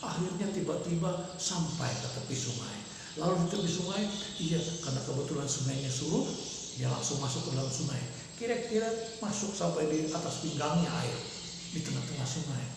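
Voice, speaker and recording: A man telling a folk legend in a halting, repetitive oral storytelling manner. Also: a little high. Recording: echoey room with loud background noise.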